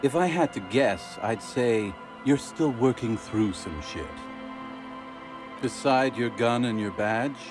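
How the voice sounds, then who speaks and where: A man playing a wry, philosophical drunkard in a video game, telling tales in a laid-back, mock-eloquent way. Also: on the low side. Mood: wry sympathy. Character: wry, boozy male alcoholic storyteller, grandiose and philosophical. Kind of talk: video game character monologue.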